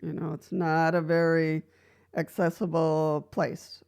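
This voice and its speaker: A woman's voice: sits low, flat delivery, slow pace, darkish tone, clear, resonant tone. A woman from Costa Rica talking casually to camera, giving practical advice from her own experience. She laughs lightly between points and often restarts with "so". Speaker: wheelchair user giving advice. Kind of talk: casual explanatory vlog talk.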